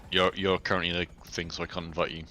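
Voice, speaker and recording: A man giving quiet, brief replies in a casual chat with friends during an online game. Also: sits low, little pitch movement, very fast.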